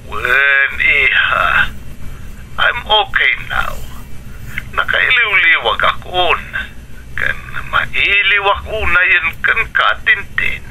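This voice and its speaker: An actor playing a homesick, gentle middle-aged father in a radio drama, with an Ilocano accent. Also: a little high, sing-song, extremely slow, slight background noise, exaggerated voice, poor audio. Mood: wistful, reassuring. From Philippines.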